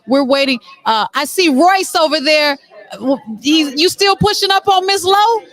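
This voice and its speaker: High-pitched woman, middle-aged, talk show host, chatty, playful, effusive, casual interviewing. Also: animated, normal speed, a bit bright. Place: on location at a theatre after a stage play.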